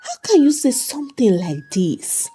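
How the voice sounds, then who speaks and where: A woman's voice: fairly high pitch, wildly swooping pitch, measured pace, warm tone, soft background music, voice pushed up. A woman voicing a stern, agitated husband who challenges in disbelief, in a dramatic story. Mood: angry disbelief. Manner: incredulous, challenging.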